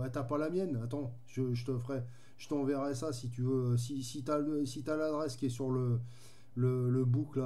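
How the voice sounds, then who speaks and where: Man with a very dark, mellow voice. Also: medium pitch. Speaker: amateur radio operator. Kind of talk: casual radio chat.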